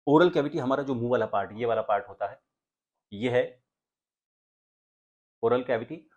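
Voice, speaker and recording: एक आदमी जो आवाज़ सुधारने का पाठ पढ़ाता है, हमिंग की आवाज़ें करके दिखाता है और हिंदी लहजे में बोलता है।. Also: male voice, mid-range voice, normal speed, darkish tone, highs cut off. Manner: explanatory, demonstrates humming sounds. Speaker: voice coach and anchor. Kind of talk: voice training lesson.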